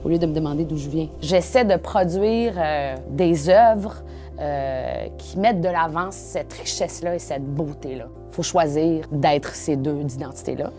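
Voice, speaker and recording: A woman's voice: low voice, measured pace, mellow, faint music behind. A woman artist speaking emphatically in a documentary interview. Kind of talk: casual testimony.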